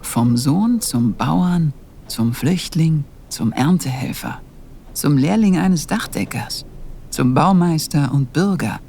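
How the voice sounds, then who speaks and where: Earnest young novice played by a man, unhurried. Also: fairly high pitch, lively intonation, gravelly, mellow, slight background noise, exaggerated voice. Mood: reflective, earnest. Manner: solemn, measured. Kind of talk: fantasy audio play monologue and prayer.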